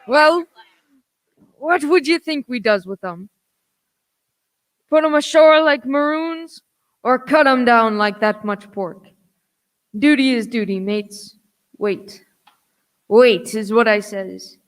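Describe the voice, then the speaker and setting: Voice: medium pitch, takes it slowly, pauses often, fairly bright tone, no echo. An actor playing a wily old one-legged pirate sea cook in a radio play, speaking in folksy, cajoling pirate dialect. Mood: scheming, forceful.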